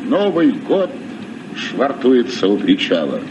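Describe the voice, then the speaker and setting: A man playing a gruff, seasoned sea captain in a vintage radio play. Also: medium pitch, wildly swooping pitch, slow. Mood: impatient, grave. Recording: distant, noisy old radio recording.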